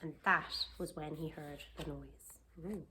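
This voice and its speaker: A woman reading a picture book aloud to children, expressively, with questioning asides and drawn-out emphasis. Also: deep voice, steady tone, background noise. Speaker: library storytime reader.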